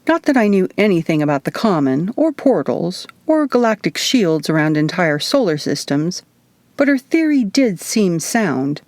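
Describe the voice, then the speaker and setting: A woman's voice: lowish voice, very animated, moderate pace, a little mellow, dry recording. A woman narrating a first-person story as an author reading her own audiobook.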